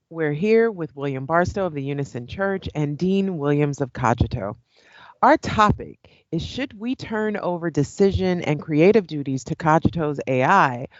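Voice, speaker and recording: Woman acting a lively, courteous broadcast host. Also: sits low, no room sound, dull audio. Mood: professional. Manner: courteous, professional. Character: polite, composed female current-affairs broadcast host.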